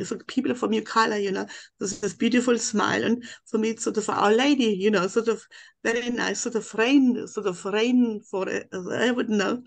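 A woman's voice: mid-range voice, creaky. An older woman with a German accent, a native German speaker, telling a long personal account on a video call. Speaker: webinar participant.

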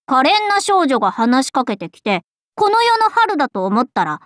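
A woman's voice: sits high, big pitch swings, moderate pace, fairly bright tone, no room sound, clean studio sound. A woman voicing a cheerful, scatterbrained androgynous young male knight in an anime game, playful and impulsive. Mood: excited, teasing. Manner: bubbly, impulsive, playful exclamations. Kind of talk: anime game character acting.

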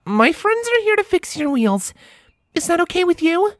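Man doing a high, caring young mare. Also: big pitch swings, moderate pace, fairly bright tone, dry recording, clean studio sound, heavily acted. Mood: kind, careful. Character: gentle, earnest female pony, caring friend.

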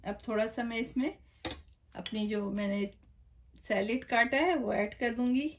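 A woman's voice: fairly high pitch, measured pace. Chatty woman explaining a home recipe. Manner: chatty step-by-step explaining, addresses viewers directly. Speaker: cooking channel host. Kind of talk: home cooking demonstration. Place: home kitchen counter.

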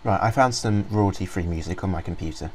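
A man's voice: deep voice, brisk, slight background noise. A man rambling through a casual walkthrough of a program he is reviewing, full of ums and restarts. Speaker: software reviewer. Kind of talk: casual screen-recorded software walkthrough.

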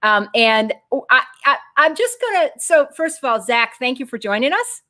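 Woman, middle-aged, political show host, excitable, voice leaps high when worked up, slightly high-pitched, video call from home. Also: normal speed. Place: home room with bookshelves.

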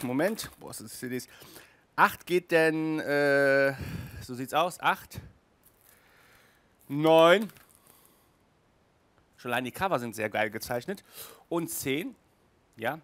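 A man's voice: on the high side, a bit bright, dry recording. A middle-aged man hosting a casual review show to camera, chatty and enthusiastic, speaking into a headset mic. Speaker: host of an audio drama review show. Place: small furnished room indoors, on a couch.

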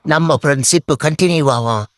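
A man's voice: a little high, moderate pace, dry recording, studio quality, close to an everyday voice. A man voicing a cheeky, boastful male animal in a comic dub, with colloquial, mock-dramatic delivery. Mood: scheming. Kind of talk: comic dubbing of funny animal clips. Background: music bed.

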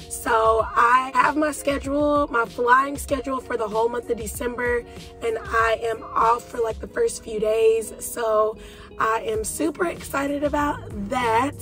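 A young woman talks straight into her phone from a parked car, chatty and familiar, calling her viewers y'all. Her voice sits a little high but sounds stuffed up and half gone from a cold. Soft music runs faintly underneath. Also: low-quality recording. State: congested with a cold. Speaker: vlogger. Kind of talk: casual vlog chat.

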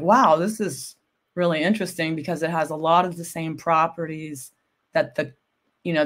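A middle-aged woman, a bit hoarse from a cold, explaining textile materials on a video call, often checking with "right?". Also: deep voice. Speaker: guest expert on textiles. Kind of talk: casual livestream chat. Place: home room with window blinds.

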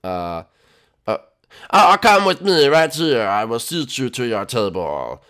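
Casting: scheming monster kid, voiced by a man, excitable and bossy, tripping over words, widely varied pitch, amateur comedy skit. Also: fairly quick, close to an everyday voice. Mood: fussy, bossy. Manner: stammering, excitable. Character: bossy, scheming young boy turtle monster.

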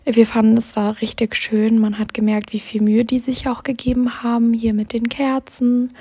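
Student vlog voice-over: a young woman, mellow and a bit raspy, fairly high, chatty and enthusiastic. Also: completely flat delivery, moderate pace. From southwestern Germany. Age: 20s. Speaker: student ambassador vlogger. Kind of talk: voice-over narration.

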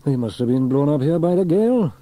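A man's voice: fairly high pitch, animated, fairly quick, mellow, pitched above the performer's own voice, close to an everyday voice. A man voicing a brave, cheerful firefighter hero in a children's storybook, upbeat and reassuring. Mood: determined.

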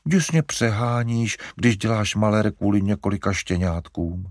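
A man's voice: lowish voice, expressive, darkish tone, no room sound, studio quality. A man voicing a fussy, fretful middle-aged mother who coaxes and hesitates. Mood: flustered coaxing. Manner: flustered, coaxing, hesitant.

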